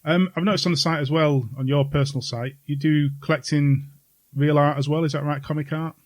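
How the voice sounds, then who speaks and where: A man's voice: on the high side, steady tone, fairly quick, no echo. A man hosting a casual interview, asking questions in an informal, agreeable, conversational way. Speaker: podcast host.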